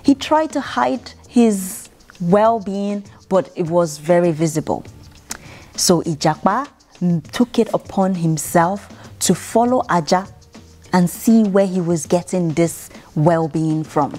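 A young woman from Nigeria reads a children's bedtime story in a measured, expressive way, with dramatic pauses. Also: lowish voice. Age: young adult. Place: indoor room, seated by a piano. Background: music.

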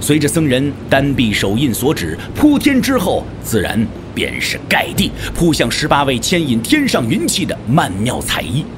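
A man's voice: mid-range voice, wildly swooping pitch, normal speed, hardly any pauses, background noise. A man narrating a martial-arts novel as an audio drama, with theatrical, scripted storytelling delivery.

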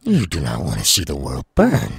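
A man playing a menacing, sadistic demon villain in an anime audio roleplay, taunting in a raspy, gravelly voice. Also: rumbling low, huge pitch swings, no room sound, studio quality, heavily acted. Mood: taunting, gloating. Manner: slow, taunting, drawn-out. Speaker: voice actor.

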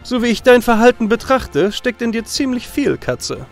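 A man's voice: extremely high pitch, sing-song, normal speed, faint music behind, pitched above the performer's own voice, full character voice. A man voicing a young warrior hero in a radio play, who is mocking and laid-back. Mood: wry. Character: young male warrior hero, wry and doubtful.

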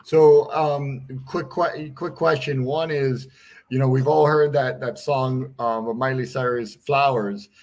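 A man's voice: mid-range voice, steady tone, unhurried, darkish tone. A man hosting a live online interview, greeting viewers and asking casual questions. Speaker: host. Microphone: headset with studio mic.

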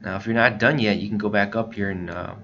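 Low-voiced American man, slightly muffled recording. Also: steady tone, fast, fairly bright tone. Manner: informal, step-by-step explaining. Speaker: instructor, scanner shop staff. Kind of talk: casual software tutorial narration. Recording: muffled lo-fi voice-over, faint background noise.

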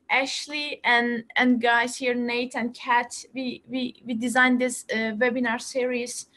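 Brief: young woman, high bright voice, halting webinar host with lots of restarts, laptop mic at home. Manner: halting, many fillers and restarts, laughs often. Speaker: student association president. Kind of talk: casual webinar talk. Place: small room at home, indoors. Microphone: laptop webcam, video call.

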